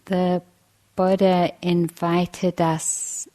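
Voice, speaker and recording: Female meditation teacher, low and fairly even in tone, gentle, measured delivery with generous pauses. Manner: gentle, measured, with long pauses between short phrases. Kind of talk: guided meditation dharma talk.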